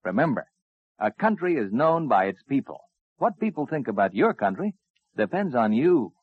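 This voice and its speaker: A man's voice: medium pitch, big pitch swings, normal speed, slightly rough, no echo. An American man reading scripted announcements in the style of a 1950s radio announcer. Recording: muffled 1950s radio recording, music.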